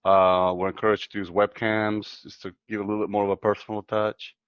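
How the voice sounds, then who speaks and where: A man from Chile in his thirties, talking in a casual interview as the guest, soft-spoken and hesitant with many fillers. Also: on the low side, level tone, a little fast, clean tone, no echo, dull audio. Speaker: guest computer scientist.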